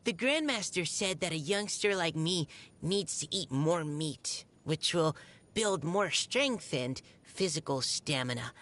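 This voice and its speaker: A woman's voice: sits low, heavily acted. A shy, earnest young scout girl. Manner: hesitant, soft-spoken, polite, earnest. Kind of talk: video game character voice lines. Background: music.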